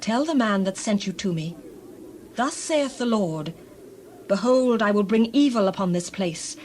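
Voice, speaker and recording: A woman's voice: on the high side, a little hiss or hum, close to an everyday voice. Woman acting a stern biblical prophetess. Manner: solemn, oracular declamation. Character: stern prophetess relaying God's judgment. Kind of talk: dramatized scripture audio.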